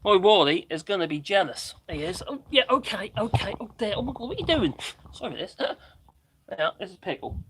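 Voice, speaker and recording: Rambling, bright-voiced Norfolk man. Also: sits high, very animated, a little fast, a little hiss or hum. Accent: Norfolk English. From Norfolk, England. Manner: rambling, chatty, laughs often. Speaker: livestream guest. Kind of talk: casual live-stream chat. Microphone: camera on tripod.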